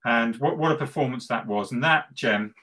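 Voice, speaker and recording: Bright-voiced man, gleeful football fan. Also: mid-range voice, normal speed. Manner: gleeful, tongue-in-cheek, repetitive for emphasis. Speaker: football podcast co-host and West Ham fan. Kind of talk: casual football chat.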